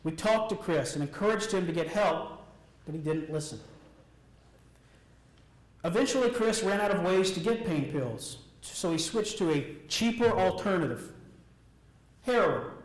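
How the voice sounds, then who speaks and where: An American man delivers a rehearsed personal testimony to an audience, speaking earnestly and deliberately in short, emphatic, repeated phrases. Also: high-pitched. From Alabama, United States. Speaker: motivational speaker. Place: echoey room, speaking before an audience. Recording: echoey room sound.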